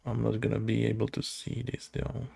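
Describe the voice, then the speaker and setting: A man thinking aloud casually as he codes, talking haltingly and muttering to himself like a developer working through a tutorial. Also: fairly low pitch, monotone, vocal fry, mellow, breathy edge. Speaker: game developer making a coding tutorial.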